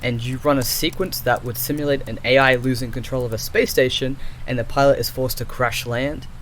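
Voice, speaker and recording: A man plays an exasperated male programmer in an audio drama, talking to the AI he built. His voice sits at a middling male pitch, with a sarcastic, fed-up edge. There is audible background noise behind him. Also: steady tone, brisk, fairly bright tone, close to an everyday voice. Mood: concerned, scolding. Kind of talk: audio roleplay acting.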